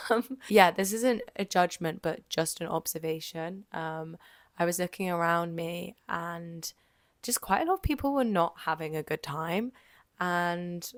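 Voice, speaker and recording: A young woman with a low, slightly croaky voice talks alone on her podcast, open and chatty, with plenty of ums, likes and the odd swear word. She is from Jersey, and her voice sounds close and dry, with no room around it. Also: measured pace, dry recording. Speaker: podcast host. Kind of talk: casual solo podcast monologue.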